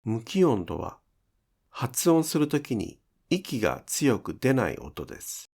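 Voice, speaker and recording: A man's voice: medium pitch, sing-song, slow pace, vocal fry, darkish tone, breathy edge. A man giving scripted instructional narration as a pronunciation teacher, enunciating carefully step by step.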